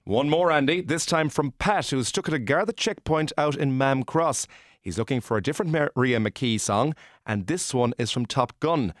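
A man's voice: medium pitch, moderate pace, dry recording, close to an everyday voice. Man acting eager Irish radio sidekick, close and dry. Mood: chatty, obliging. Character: male radio sidekick, deferential, eager, obliging. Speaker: radio co-host. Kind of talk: comedy radio play, reading listener messages.

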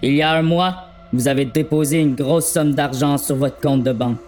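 A man's voice: a little high, steady tone, moderate pace, a little mellow, soft background music, lightly acted. A man playing a formal, probing police officer in an amateur horror story dramatization.

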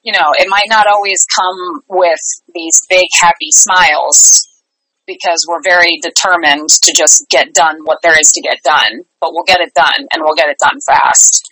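A woman's voice: fairly low pitch, steady tone, moderate pace, bright, forceful tone, no echo, poor audio. A middle-aged woman answering interview questions conversationally, speaking earnestly and repeating phrases for emphasis. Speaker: interview guest, life and business coach.

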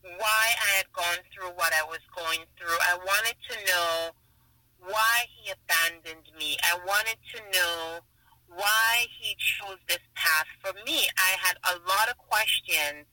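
Earnest Jamaican woman, very bright voice. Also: lowish voice, slow pace, rough recording. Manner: earnest, reflective, restarts phrases often. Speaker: guest author. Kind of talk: podcast interview.

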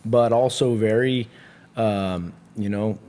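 A man answering interview questions casually, relaxed and filling his talk with "you know" and "I mean". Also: on the low side, slow pace. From Santa Cruz, California. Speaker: jiu-jitsu instructor and gym owner.

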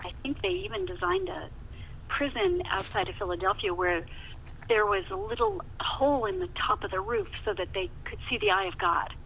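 A woman's voice: high-pitched, very animated, normal speed, a bit bright. A woman guest telling stories conversationally in an interview, with an emphatic way of talking, repeating words for emphasis. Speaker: novelist, podcast guest. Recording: muffled phone line with hiss.

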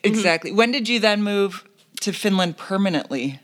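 A woman's voice: mid-range voice, lively intonation, moderate pace. A woman with a Southern US accent hosting a casual chat, asking questions and gushing over her guest. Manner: chatty, asks questions, gushes. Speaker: podcast host.

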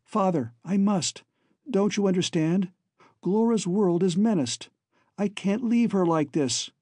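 A man's voice: high voice, measured pace, mellow, no room sound, studio quality, pitched above the performer's own voice. A man voicing an earnest, devoted young man in an emotional, pleading audiobook dialogue. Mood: pleading, resolute. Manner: choked, emotional, pleading delivery. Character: earnest, devoted young man bidding his family farewell.

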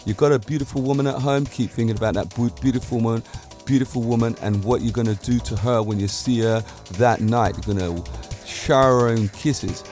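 A man's voice: mid-range voice, darkish tone. A man hosting a podcast, giving a self-assured motivational monologue full of emphatic points and rhetorical questions. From Britain. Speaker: podcast host.

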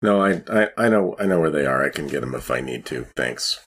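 Very deep, husky-voiced man. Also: expressive, brisk, a bit bright. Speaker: tabletop RPG player. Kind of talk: casual tabletop roleplay.

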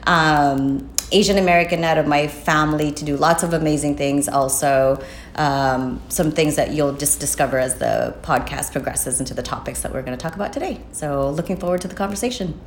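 Female guest from the Philippines; very low voice, halting delivery, echoey room. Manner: hesitant, searching for words. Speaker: podcast guest. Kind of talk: casual podcast conversation. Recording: echoey room with faint noise.

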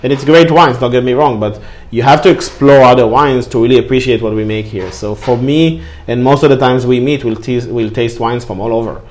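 A middle-aged Israeli-born man with Hebrew as his first language talks about winemaking in an interview. His voice sits at an ordinary middle pitch. He speaks earnestly in fluent but non-native English, with many fillers. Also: fairly quick, few pauses. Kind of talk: interview answers.

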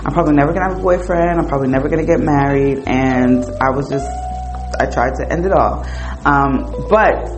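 A woman's voice: rumbling low, fairly quick, low-quality recording. A woman in her forties from New Jersey, hosting a podcast and telling a personal story in a candid, conversational way with lots of fillers. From New Jersey, United States. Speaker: podcast host. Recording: music playing behind the voice.

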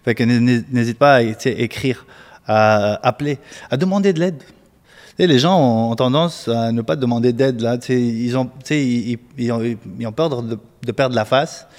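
A man's voice: mid-range voice, normal speed, a little mellow. Guy talking about his work, lots of "tu sais" fillers, english words slipped in, roomy reverb. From Tunisia. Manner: chatty, many fillers, mixes in English words. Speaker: guest mortgage broker. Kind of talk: casual advice talk. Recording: reverberant room.

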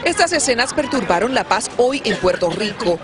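Tv news lady, lively up and down voice, loud crowd and street noise behind. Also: fairly high pitch, normal speed. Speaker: TV news reporter. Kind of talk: TV news report.

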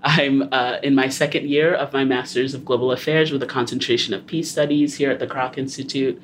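A young man from Haiti hosting a student podcast, talking in a relaxed way with small laughs and fillers. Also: mid-range voice, a little fast, a little hiss or hum. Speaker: podcast host.

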